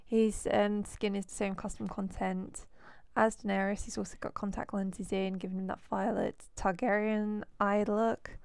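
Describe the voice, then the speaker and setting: A woman with a cold, hoarse and losing her voice, chatting casually as she shows off characters in a life-sim game. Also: medium pitch, level tone, normal speed, a little mellow, no echo. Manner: chatty, rambling with fillers. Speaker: let's play host.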